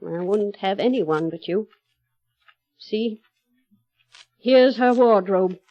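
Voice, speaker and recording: An actress playing an obsessive, menacing housekeeper in a radio drama, speaking slowly and insinuatingly. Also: female voice, medium pitch, dry recording, natural voice. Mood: coldly insinuating. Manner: slow, insinuating, deliberate. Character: obsessive, menacing housekeeper devoted to her dead mistress. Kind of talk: 1930s radio drama acting. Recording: muffled old radio recording with music.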